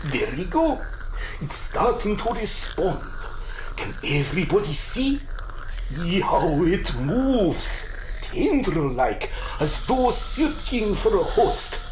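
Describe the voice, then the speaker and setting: Showy scientist, swooping intonation, roomy sound. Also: female voice, lowish voice, very animated, slow pace, background noise. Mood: showman-like. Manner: formal, showy, precise. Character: proud, excitable medical scientist presenting an experimental cure. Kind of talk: radio drama acting.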